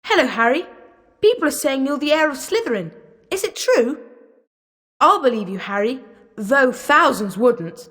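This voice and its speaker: A woman playing a keen, sporty young student at a wizard school in animated video game dialogue. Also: high voice, normal speed, pauses often, full character voice. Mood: curious. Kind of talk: scripted video game voice lines.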